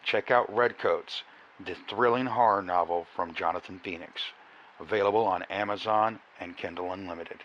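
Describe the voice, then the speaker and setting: A man's voice: low-pitched, moderate pace, fairly bright tone, highs cut off, slight background noise. A man reading scripted promos and credits as a dramatic announcer. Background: music.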